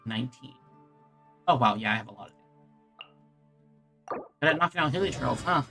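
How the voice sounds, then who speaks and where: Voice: mid-range voice, expressive, brisk, creaky, pushed and bright. A video game streamer giving casual live commentary, thinking aloud and muttering to themselves while playing. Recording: game music behind the voice. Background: video game music.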